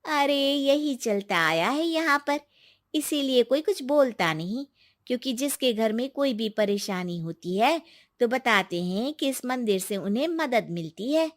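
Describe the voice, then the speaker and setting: A woman's voice: on the high side, lively intonation, a little slow. A woman playing a kind, reassuring village woman with secrets, in a colloquial cartoon moral-story style. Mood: explaining.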